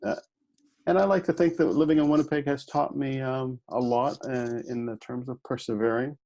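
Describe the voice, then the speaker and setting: A man's voice: medium pitch, steady tone, moderate pace, mellow. A man in his fifties from the United States, a podcast guest telling his life story in a folksy, good-humored way. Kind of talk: conversational interview.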